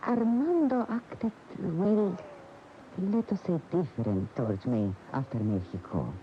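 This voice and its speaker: An actress playing a proud, wounded, jealous lover in a radio drama, speaking deliberately and dramatically. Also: female voice, fairly low pitch, animated, slight background noise. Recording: dull AM radio tape.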